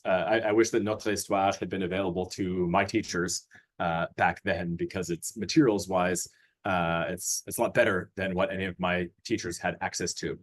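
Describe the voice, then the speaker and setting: Man presenting a curriculum over a video call. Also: sits low. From Austria. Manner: hesitant, many fillers and restarts, friendly. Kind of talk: webinar presentation.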